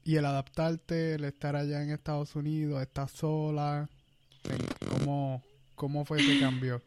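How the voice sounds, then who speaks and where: A man interviewing a guest, asking halting, relaxed questions and backchanneling often. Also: on the high side, clear, resonant tone. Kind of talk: casual interview.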